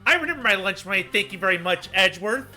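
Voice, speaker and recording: Excitable goofball, indignant, loud, video game dub, sharp piercing tone, high-pitched. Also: male voice, fast talker, faint music behind, exaggerated voice. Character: goofy, whiny, excitable young male petty troublemaker.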